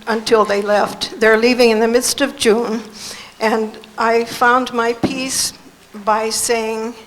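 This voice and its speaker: A hoarse woman from the audience, losing her voice, telling a personal story in a halting, rambling way. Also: on the high side, slight background noise, rough recording. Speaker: audience member. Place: conference hall.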